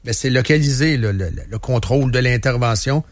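A man's voice: mid-range voice, a little fast, no echo. A man with a Quebec French accent hosting a casual radio chat, rambling and often restarting his words. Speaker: radio host. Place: radio studio.

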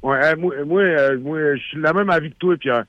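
A man's voice: on the high side, a little fast, no room sound. A man with a Quebec French accent calling in to a radio show, rambling and repeating himself as he chats casually. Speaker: caller. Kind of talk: casual call-in chat. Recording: muffled phone-line call.

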